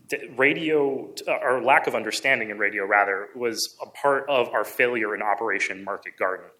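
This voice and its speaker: A man gives an informative talk. His voice sits a little low, with a bright, crisp edge. He moves haltingly through his points, often breaking off and correcting a word. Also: poor audio. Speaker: presenter at a book discussion. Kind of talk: informative presentation of a book summary.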